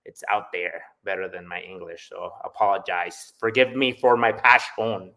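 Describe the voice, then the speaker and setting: A man's voice: sits low, fairly even intonation, moderate pace, pushed and bright. Male sales entrepreneur, native Spanish speaker with Spanish accent, emphatic casual podcast delivery. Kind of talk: casual live podcast talk.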